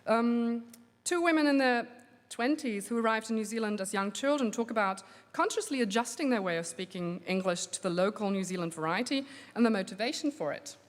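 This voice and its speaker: A woman researcher giving an academic conference lecture, measured and steady, with frequent um fillers. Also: on the high side. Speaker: linguistics researcher giving a plenary talk. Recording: long hall reverb.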